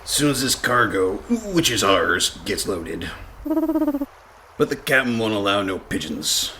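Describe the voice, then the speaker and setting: An actor playing a shifty, dim pirate sailor with a stage pirate accent and folksy, rambling speech in a comic radio play. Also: male voice, lowish voice, wildly swooping pitch, takes it slowly, bright, forceful tone, a little hiss or hum, exaggerated voice, rough recording. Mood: wary, blustering.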